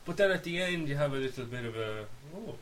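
Male podcast co-host, medium-pitched, blunt and opinionated, casual banter, slight hiss in the recording. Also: expressive, fast, fairly bright tone. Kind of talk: casual, opinionated chat about films. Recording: hissy background noise.

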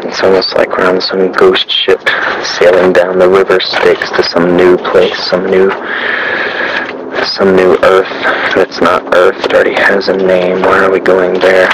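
A man murmurs his diary entries in a low, gravelly voice with little rise and fall. His tone is introspective and brooding, and a steady hiss of noise sits beneath it. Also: measured pace. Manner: quiet, brooding, introspective musing. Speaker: audio log narrator. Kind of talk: personal audio diary.

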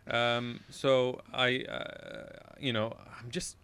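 A man's voice: medium pitch, little pitch movement, slow pace, fairly bright tone, clean tone. A man from Iraq, a radio show guest, telling a casual anecdote in a rambling way, often correcting himself and laughing at his own story.

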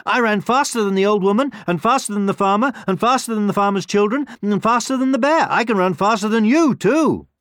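A man's voice: sits high, a little fast, hardly any pauses, fairly bright tone, no echo, clean studio sound, voice pushed up. A man voicing a cheeky, boastful little gingerbread man in a storybook, with a taunting sing-song delivery. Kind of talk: storybook character voice.

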